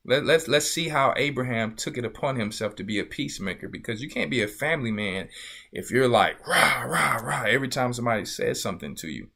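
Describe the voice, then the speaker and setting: A man's voice: mid-range voice, expressive, a little fast, gravelly, sharp tone, breathy edge. A man teaching a Bible lesson as a church elder, emphatic and repetitive, often asking listeners if they see his point. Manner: emphatic, repeats phrases and asks listeners "you see". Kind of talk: sermon-style Bible teaching.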